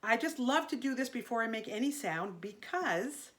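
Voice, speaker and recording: A woman teaching an online singing lesson in a gentle, chatty way, her voice a little congested from allergies. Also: a little high, animated, normal speed. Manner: gentle, chatty, demonstrating vocal sounds.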